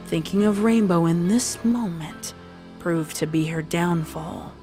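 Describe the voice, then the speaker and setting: Low-voiced woman reading a dark story. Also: unhurried, soft background music. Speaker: story narrator. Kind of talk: scripted dramatic narration of a fan fiction horror story.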